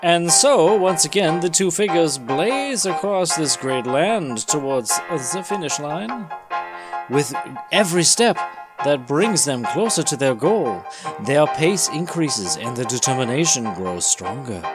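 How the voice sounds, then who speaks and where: A man's voice: a little high, very animated, measured pace. A man hosting and narrating a comic book dramatic reading in a playful, theatrical way, slipping into casual chat. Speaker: podcast host and narrator. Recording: music behind the voice.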